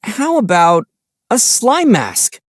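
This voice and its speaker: A man voicing a calm young traveler hero in a video game, measured and gently teasing. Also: high voice, no echo, clean studio sound, heavily acted. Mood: thoughtful. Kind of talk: video game character dialogue.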